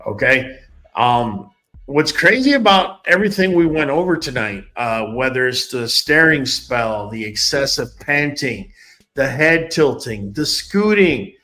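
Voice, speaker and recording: A man's voice: medium pitch, very animated, a little slow, sharp tone, slight background noise. A man hosting a casual livestream, talking straight to his viewers in a chatty way and often repeating words. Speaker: livestream host.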